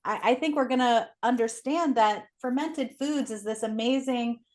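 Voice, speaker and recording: A woman's voice: on the high side, moderate pace, darkish tone. A woman speaking as an expert interview guest, explaining things conversationally in an articulate, enthusiastic way.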